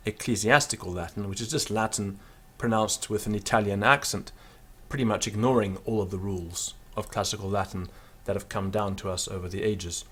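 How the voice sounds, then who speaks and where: A man with a fairly low voice teaching pronunciation in an offhand, unscripted way, full of small fillers and restarts. He stops every so often to sound out words slowly and deliberately, as a demonstration for his students. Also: a bit bright. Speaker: Latin teacher. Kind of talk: spoken pronunciation lesson.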